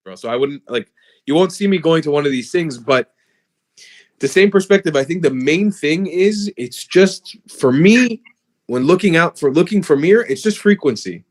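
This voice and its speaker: A man's voice: a little high, expressive, moderate pace. A young man from the US whose first language is Hebrew, talking candidly and casually with loose fillers and some swearing. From USA (South Florida). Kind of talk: casual chat.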